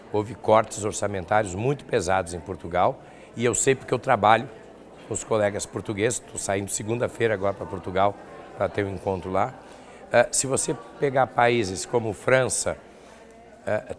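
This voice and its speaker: Older southern Brazilian man explaining things. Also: fairly low pitch. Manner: explanatory, illustrates points with examples. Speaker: academic researcher and professor being interviewed. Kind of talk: spoken interview answers.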